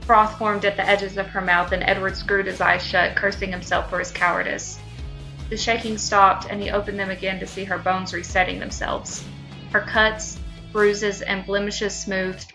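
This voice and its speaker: A woman's voice: medium pitch, creaky, rough recording. A woman co-hosting a comedy podcast, talking casually and reading fan fiction aloud. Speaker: podcast co-host.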